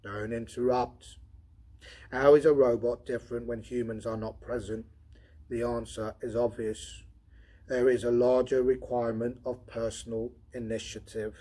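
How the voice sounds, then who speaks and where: A brusque, skeptical senior technician , read by a man in a science fiction audiobook. The voice is mid-pitched and a bit rough, reasoning methodically with dry impatience. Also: little pitch movement, moderate pace, mellow, lightly acted. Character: brusque, logical, skeptical male senior robot field technician. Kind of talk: audiobook character dialogue.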